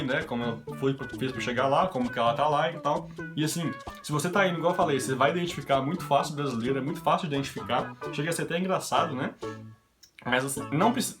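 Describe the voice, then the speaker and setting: A young man from Brazil telling a run of everyday anecdotes to his vlog audience in a chatty, casual way. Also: fairly high pitch. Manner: chatty anecdotal, quoting others in his own voice. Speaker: vlogger. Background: background guitar music.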